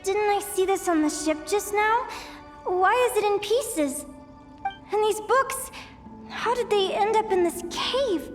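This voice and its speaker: Dreamy young woman, wistful, slow monologue, game character, soft music behind. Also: very high voice. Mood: puzzled. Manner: wistful, slow, musing to herself.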